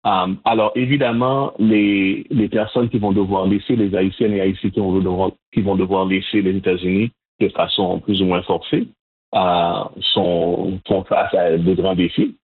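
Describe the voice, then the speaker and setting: Interview guest: man, measured, hesitant, slightly husky voice. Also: low voice, steady tone. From Montreal, Canada. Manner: measured, hesitant, frequent restarts. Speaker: guest, Haitian community organizer. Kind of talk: interview answers. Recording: phone-line audio, husky.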